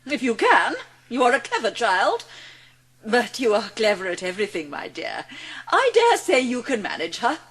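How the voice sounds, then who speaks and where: A woman's voice: fairly high pitch, huge pitch swings, normal speed, a bit bright. A woman playing a stern, imperious, two-faced schoolmistress in a theatrical audiobook dramatisation. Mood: sweetly condescending.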